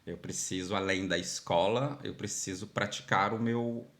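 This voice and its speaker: A man's voice: deep voice, normal speed. A man from Minas Gerais with a Brazilian Portuguese accent, a podcast guest chatting casually and animatedly, full of fillers. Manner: animated storyteller, many fillers like "tipo" and "sabe". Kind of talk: casual interview chat.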